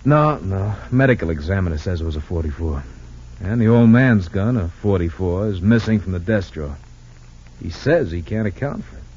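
An actor playing a folksy, excitable police lieutenant in an old radio drama. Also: male voice, sits low, normal speed, slightly rough. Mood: matter-of-fact. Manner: chuckling, exclamatory, folksy. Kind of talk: 1940s-50s radio drama acting. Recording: dull lo-fi old radio recording.

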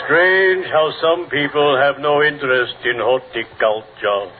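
A male actor playing a genteel, morbid undertaker in old-time radio comedy, speaking formally and slowly with a solemn deadpan delivery. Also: mid-range voice, natural voice. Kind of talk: 1940s-50s radio comedy acting. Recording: noisy, tinny old radio broadcast.

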